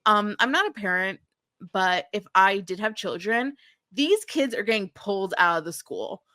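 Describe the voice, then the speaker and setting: A woman speaks in a bright, forward voice with a very dry, close sound. She sounds informal and opinionated, like a podcast host chatting through a TV recap. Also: mid-range voice, animated, moderate pace, no room sound. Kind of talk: casual TV recap chat.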